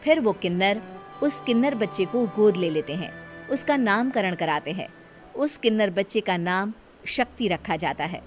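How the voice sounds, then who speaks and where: A woman narrating a cartoon horror story in a steady, plain storytelling manner. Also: mid-range voice, normal speed, soft background music.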